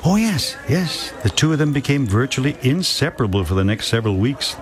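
A man's voice: mid-range voice, big pitch swings, fairly quick, soft background music, natural voice. An actor playing a kind man who chuckles as he recounts past events in a radio drama. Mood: reminiscing. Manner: kindly, reminiscing, chuckling storyteller. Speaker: storyteller and friend.

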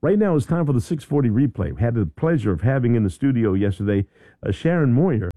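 A man's voice: mid-range voice, fast talker, no echo. A middle-aged man hosting a morning radio show in the studio, chatting casually and rambling through stories with many restarts. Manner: animated storyteller, rambling with frequent restarts. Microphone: studio microphone.

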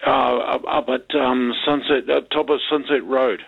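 Hesitant older NZ caller on a tinny phone line. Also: male voice, mid-range voice, steady tone, normal speed, gravelly, breathy edge. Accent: New Zealand. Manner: hesitant and rambling, full of ums and repeats. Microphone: telephone.

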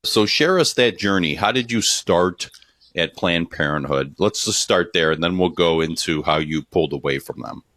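A man's voice: low voice, animated, moderate pace, fairly bright tone, no room sound. A man hosting a podcast interview, upbeat and earnest as he welcomes listeners and introduces his guest. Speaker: podcast co-host. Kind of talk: hosted interview.

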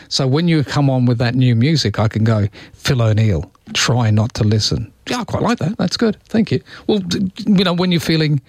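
A man's voice: mid-range voice, dry recording, studio quality. A man with an Australian accent hosting talkback radio, chatting in a rambling way with wry asides. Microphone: studio microphone.

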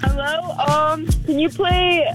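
A woman's voice: high-pitched, steady tone, slow, over loud music. A young woman in her twenties calling in to a radio show, shy and giggly. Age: 20s. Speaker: radio caller. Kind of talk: casual radio call-in.

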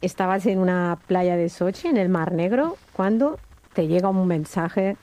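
A woman's voice: on the low side, unhurried, mellow, no echo. A woman hosting an interview, welcoming the guest and asking questions with short friendly interjections. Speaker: host. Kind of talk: radio interview.

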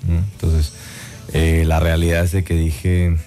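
Man, very low voice, reflective interview guest, hesitant fillers. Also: monotone, unhurried, mellow, faint music behind. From Guatemala. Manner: reflective, many "eh" fillers and tag "¿no?". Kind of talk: casual interview chat.

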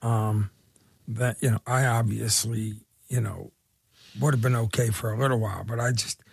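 A man co-hosting a casual radio chat, talking haltingly with lots of fillers and restarts. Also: medium pitch, steady tone, gravelly, slightly rough, no room sound. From Irish heritage. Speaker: radio co-host.

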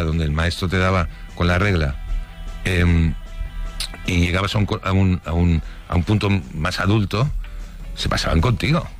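Deep-voiced Spanish man recalling his childhood. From Spain. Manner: casual, storytelling with laughs and restarts. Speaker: interviewee. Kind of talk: recounting childhood memories.

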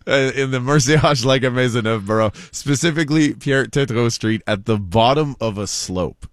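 A man's voice: medium pitch, normal speed, no room sound, clean studio sound. A man co-hosting a radio show, chatting casually through news stories and laughing often. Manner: chatty, laughs often, reads quotes aloud.

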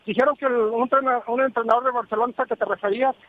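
A man's voice: very high-pitched, steady tone, very fast. A man calling in to a radio show, asking rambling, hesitant questions. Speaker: caller. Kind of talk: casual radio call-in chat. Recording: tinny phone line.

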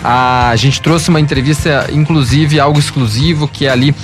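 A man's voice: fairly high pitch, steady tone, normal speed, music in the background. A man hosting a show, presenting segments and asking interview questions. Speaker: radio show host, interviewer.

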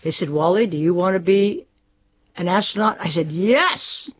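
A woman's voice: fairly low pitch, moderate pace, breathy edge. An older woman speaking proudly and emphatically in an archive interview. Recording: dull archive recording.

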